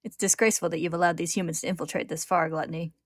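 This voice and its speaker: A woman plays a disdainful patriarch who rules over monsters in a dramatic tale. He speaks in a haughty, measured way, cold and sure of his command. The sound is dry and slightly low. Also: steady tone, dry recording, natural voice.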